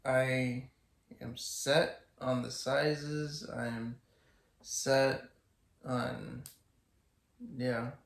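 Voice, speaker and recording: A man's voice: mid-range voice, little pitch movement, drawn-out words, creaky, long pauses, reverberant. A young man co-hosting a casual podcast, talking hesitantly with many restarts and fillers. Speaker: podcast co-host. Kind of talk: casual chat.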